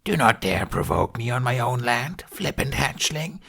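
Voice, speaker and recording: A man's voice: mid-range voice, very animated, moderate pace, vocal fry, fairly bright tone, slight background noise, voice pushed up. A man voicing a haughty, menacing older female dragon with a guttural voice in an audiobook. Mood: menacing, scornful. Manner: archaic, threatening phrasing.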